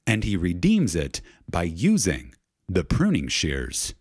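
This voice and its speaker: A man reading a spiritual lecture aloud in a reverent, dramatic way, solemn and emphatic, with deliberate pauses. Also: low-pitched, wildly swooping pitch, breathy edge, no echo, clean studio sound.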